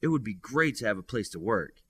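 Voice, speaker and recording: A man's voice: on the low side, sing-song, a little fast, bright, forceful tone, breathy edge, exaggerated voice. A man voicing a friendly, casual female student asking for help in an audiobook.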